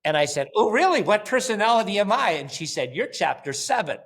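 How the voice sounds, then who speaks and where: A male company founder speaks as a podcast guest. He is blunt and animated and swears casually as he talks. He acts out bits of dialogue with other people as he tells his stories. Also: sits high, brisk. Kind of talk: casual interview.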